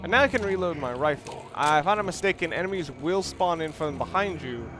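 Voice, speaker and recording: Man, slightly high voice, casual gameplay commentary, rambling explanations, clear music behind. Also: expressive, brisk, a bit bright. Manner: rambling, thinks aloud, explains tactics. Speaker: let's play host. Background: game music and sounds.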